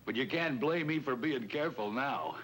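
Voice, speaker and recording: A man's voice: medium pitch, animated, moderate pace, fairly bright tone, slightly rough. A man playing a wary, folksy shopkeeper who has been swindled, hesitant and plain-spoken, in a 1950s police drama. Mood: earnest, rueful. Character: wary, folksy male shopkeeper, robbery victim. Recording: noisy old 1950s film soundtrack.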